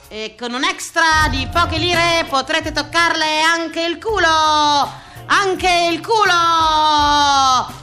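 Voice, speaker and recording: Fairground barker, bombastic, high-pitched, slow stretched-out cries, theatrical radio monologue. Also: female voice, music in the background, exaggerated voice. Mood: bombastic hawking. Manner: declamatory, drawn-out crying out of phrases. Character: bombastic fairground sideshow barker hawking a spectacle.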